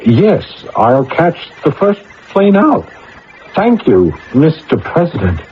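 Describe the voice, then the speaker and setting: An actor in a radio drama playing and narrating as an anxious, easily flustered government adviser. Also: male voice, fairly high pitch, big pitch swings, slow pace, slight background noise. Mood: excited. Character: anxious, flustered male government adviser bluffing through his job. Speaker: protagonist and narrator. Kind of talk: radio drama acting and first-person narration. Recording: muffled old radio broadcast with music.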